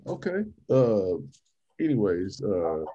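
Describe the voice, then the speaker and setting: Low husky man, casual host. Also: big pitch swings, mellow, lo-fi sound. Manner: halting, many fillers and restarts. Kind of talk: casual chat.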